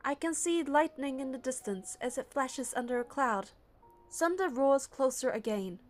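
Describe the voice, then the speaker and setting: A high-voiced woman narrates a game story in the first person. She reads from a script, with light music behind her. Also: normal speed.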